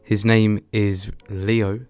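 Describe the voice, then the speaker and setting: A man's voice: lowish voice, little pitch movement, very slow, clear, resonant tone, no room sound. A young man hosting a podcast, chatting casually and laid-back into a close desk microphone. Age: young adult. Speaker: podcast host. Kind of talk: casual podcast interview chat. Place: small dim room at home. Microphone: close desk microphone with headset. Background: soft guitar music.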